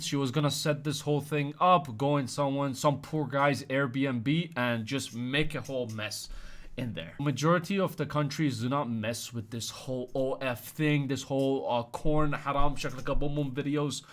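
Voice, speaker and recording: A young man gives comedic commentary, mocking his subject with sudden loud outbursts. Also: medium pitch, normal speed. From Iran. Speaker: commentary host.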